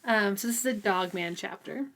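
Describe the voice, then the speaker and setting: A woman's voice: medium pitch, moderate pace, a bit bright. A woman with a mid-range voice discusses a book as a co-host. She speaks in an informal, conversational way, like someone chatting with a fellow reader.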